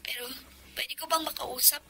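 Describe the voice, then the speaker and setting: High-voiced pleading young mother acted by a woman. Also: fairly even intonation, moderate pace, lightly acted. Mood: subdued, grateful. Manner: emotional, imploring delivery. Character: pleading, tearful young mother begging for her child. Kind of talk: Filipino radio drama acting.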